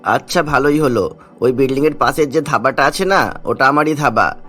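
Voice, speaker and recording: A man's voice: medium pitch, normal speed, pushed and bright, faint music behind. A man voicing a kindly fatherly dhaba owner. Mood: reassuring. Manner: fatherly, reassuring. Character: kindly middle-aged male dhaba owner.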